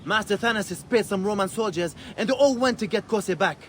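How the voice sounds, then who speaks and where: A man's voice: high voice, a little fast, slight background noise, close to an everyday voice. A man acting an anxious townsman in a video game, pleading urgently.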